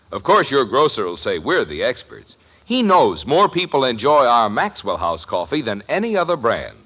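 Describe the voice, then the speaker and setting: A man's voice: mid-range voice, fairly bright tone. A man reading a 1950s radio commercial as announcer, in an emphatic, salesmanlike way.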